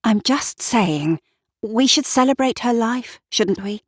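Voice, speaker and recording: A woman voicing a brisk, self-assured woman in an audio story, emphatic and theatrical. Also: a little high, expressive, no echo, clean studio sound, voice pushed up. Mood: insistent. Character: brisk, chin-up, self-assured woman rallying mourning neighbours.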